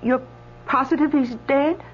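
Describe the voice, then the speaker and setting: An actress in a radio drama playing a jittery, uncertain assistant who stammers and gropes for words. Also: female voice, sits high, steady tone. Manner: halting, stammering, groping for words. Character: jittery, uncertain woman assisting a doctor at a morgue. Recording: dull old radio broadcast, faint hum.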